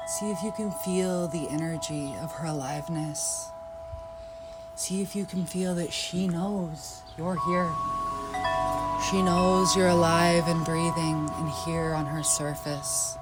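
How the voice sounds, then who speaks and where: A woman leading a guided yoga nidra meditation in a soft, unhurried, inviting way. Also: deep voice.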